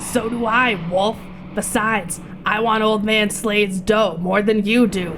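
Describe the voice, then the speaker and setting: A woman's voice: medium pitch, fairly even intonation, slow pace, fairly bright tone, a little hiss or hum. A woman playing a tough, mouthy, money-minded young adventurer in a motion comic. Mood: brash. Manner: blunt, combative.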